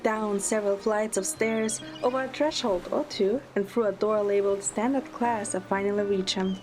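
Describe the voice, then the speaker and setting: A woman playing a quick-witted young heroine, narrating an audio drama in the first person. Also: mid-range voice, steady tone, music in the background, natural voice.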